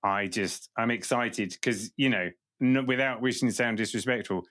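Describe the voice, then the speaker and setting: A man's voice: mid-range voice, moderate pace, a bit bright, dry recording, studio quality. A man hosting a podcast, chatting casually and jokingly with a guest, then reading the show outro. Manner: jokey, enthusiastic. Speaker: podcast host.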